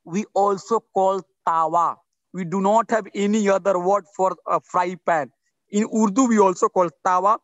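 A man's voice: high voice, fairly even intonation, normal speed, fairly bright tone, dry recording, muffled recording. A man in his forties from India with an Indian English accent, speaking earnestly as a guest on a video-call interview and often repeating himself for emphasis. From India (Bihar). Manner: earnest, repetitive, emphatic with restarts. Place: outdoors under trees.

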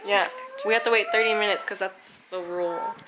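A young woman of ordinary pitch chatting casually with friends in a bedroom near a handheld camera. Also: steady tone, fairly quick. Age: teens to young adult. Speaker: vlog participant. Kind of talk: casual chat among friends. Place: small bedroom, indoors. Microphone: handheld camera nearby. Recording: noisy handheld camera audio.

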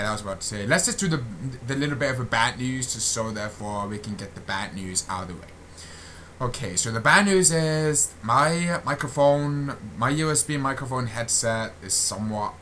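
A young man giving a casual channel update as a gaming video creator, halting and often repeating his phrases, on a built-in computer microphone. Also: mid-range voice, pushed and bright, slight background noise. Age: young adult.

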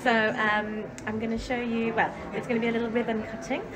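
A woman's voice: a little high, level tone, fast talker, rough recording. A woman in her forties chatting casually to camera as a travel vlogger, gushing with enthusiasm. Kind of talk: casual vlog chat to camera. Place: cruise ship decks, venues and cabin. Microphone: phone at arm's length. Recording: loud music and busy crowd behind.

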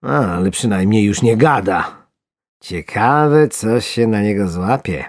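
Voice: mid-range voice, expressive, takes it slowly, no echo, studio quality. Storyteller as a flustered, muttering male angler. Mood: puzzled relief. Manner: muttering, self-soothing. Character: bewildered, flustered male angler talking to himself.

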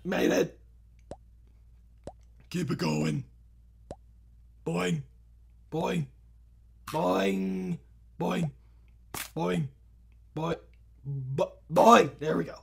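Man doing a very gravelly, high-pitched childish clone. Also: drawn-out words, long pauses, fairly bright tone, slight background noise, heavily acted. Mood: relaxed. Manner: broken third-person grammar, mild swearing, repetitive exclamations. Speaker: gaming video host. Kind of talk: excited gameplay commentary.

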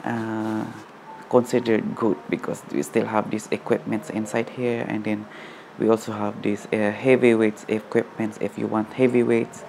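A man in his thirties talking casually to a handheld phone in a large indoor gym, halting with many fillers, a little out of breath after jogging. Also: on the low side, little pitch movement, gravelly, a little mellow, slight background noise. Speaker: vlogger. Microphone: phone at arm's length.

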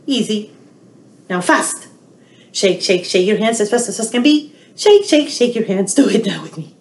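A woman's voice: mid-range voice, moderate pace, slight background noise. A middle-aged woman hosting a storytime for young children, speaking to them directly. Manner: animated, addressing little children directly. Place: small indoor room. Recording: roomy, echoey home webcam sound.

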